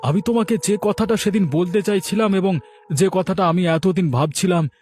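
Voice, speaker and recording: A man's voice: high-pitched, normal speed, darkish tone, a little hiss or hum, lightly acted. A man voicing an aggrieved, envious village man in a cartoon, speaking in an indignant, boastful, emphatic way. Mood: scheming, boastful. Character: aggrieved, envious village man plotting a rival food stall. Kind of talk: animated moral story dialogue.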